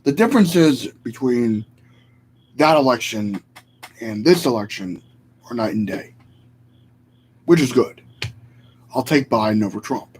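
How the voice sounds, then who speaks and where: A man's voice: mid-range voice, lively intonation, a little slow, long pauses, darkish tone, a little hiss or hum, low-quality recording. A man vlogging in a casual, rambling rant, opinionated and often correcting himself mid-sentence.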